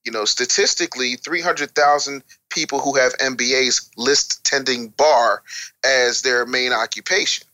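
A man's voice: medium pitch, normal speed, pushed and bright, poor audio. A male interview guest explaining his opinions bluntly and wryly, with emphatic lists and rhetorical questions. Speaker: interview guest, author and radio producer.

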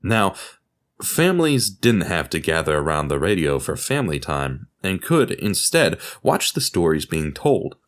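A man narrating a scripted documentary podcast, telling it like a story with deliberate dramatic emphasis. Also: deep voice, very animated.

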